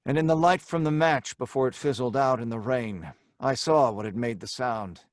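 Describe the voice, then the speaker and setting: An actor playing a hard-boiled crook with an American accent, dramatically acting and narrating in old-time radio horror style. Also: male voice, mid-range voice, moderate pace, no room sound, studio quality, close to an everyday voice. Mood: tense narration. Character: Stanley, hard-boiled male crook narrating his own doom.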